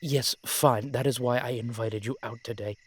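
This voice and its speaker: A man playing a brooding, protective vampire in an audio roleplay, speaking in formal, measured phrases with dramatic pauses. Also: mid-range voice, fairly even intonation, lightly acted. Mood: exasperated, teasing. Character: brooding, protective male vampire, old-fashioned and frustrated. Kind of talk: scripted audio roleplay acting addressed to the listener.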